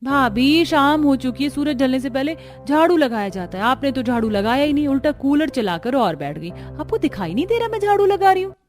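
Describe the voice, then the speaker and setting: A woman's voice: high-pitched, expressive, a little fast, few pauses, faint music behind, pitched above the performer's own voice. A woman voicing a bossy, nagging young sister-in-law in a cartoon story. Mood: scolding.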